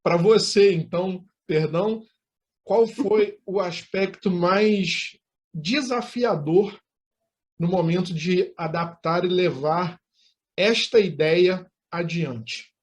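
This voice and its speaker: A man's voice: sits high, slow. A Brazilian man hosting an interview conversationally, with rather formal, elaborate wording. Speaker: interview host.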